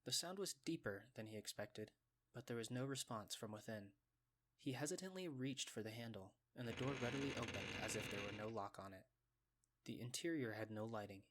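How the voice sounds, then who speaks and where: A man reading scripted story narration, with a measured, descriptive storytelling delivery. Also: lowish voice, fairly bright tone, slight background noise.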